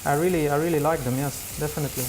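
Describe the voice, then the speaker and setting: A man's voice: on the high side, fairly even intonation, very fast, a little mellow, a little hiss or hum, low-quality recording. A young Belgian man whose first language is Dutch, chatting in English as a guest cook with many hesitant fillers and restarts. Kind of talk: casual kitchen chat. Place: kitchen studio with frying.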